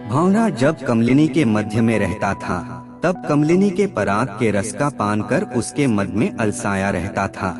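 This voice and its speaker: Slow, low-voiced man narrating over music. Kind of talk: scripted narration of aphorisms. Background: background music.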